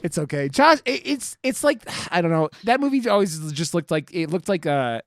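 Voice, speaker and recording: A man's voice: fairly high pitch, expressive, moderate pace, no room sound. A man chatting casually as a podcast co-host, with a crude, joking, rambling manner. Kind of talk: casual comedic podcast chat.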